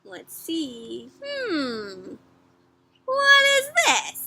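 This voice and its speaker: A woman doing an interactive read-aloud for young children, expressive and encouraging, often putting questions to her listeners. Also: high voice, very slow. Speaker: children's storybook read-aloud host and teacher.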